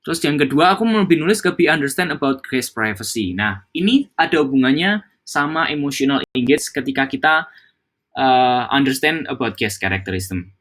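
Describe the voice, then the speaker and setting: A young man from Java walks his coworkers through guest service in a loose, conversational training session. He drifts between casual speech and English buzzwords, often pausing with fillers and restarting sentences. His voice sits at an ordinary middle pitch. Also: lively intonation, a bit bright. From Java, Indonesia. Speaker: hospitality staff trainer. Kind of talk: internal training talk.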